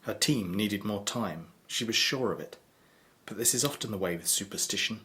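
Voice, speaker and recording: An actor narrating a horror audio drama as a measured, ominous storyteller. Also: male voice, fairly low pitch, bright, forceful tone.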